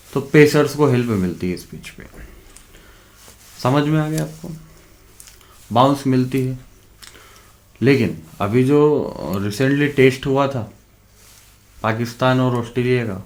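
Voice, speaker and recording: A man with a sore throat and a cough, talking casually straight to his viewers as a fantasy cricket tipster, calling them brothers and checking in with "okay" again and again. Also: mid-range voice. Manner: chatty, keeps addressing viewers as brothers, repeats "okay". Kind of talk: casual direct-to-camera vlog.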